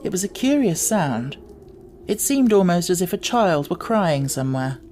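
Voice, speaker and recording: A man's voice: sits high, big pitch swings, normal speed, a little mellow. Male audiobook narrator, some background noise. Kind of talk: scripted storybook narration.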